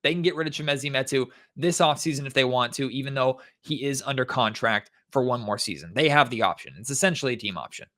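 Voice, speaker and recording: A man's voice: medium pitch, fast talker, few pauses, pushed and bright. A man hosting a sports podcast solo, giving commentary in a conversational way and speaking directly to his listeners.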